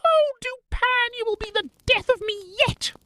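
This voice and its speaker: A woman's voice: very high-pitched, normal speed, sharp tone, dry recording. A woman playing a blustering, easily amused male police prefect in an audio drama. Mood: amused, scoffing.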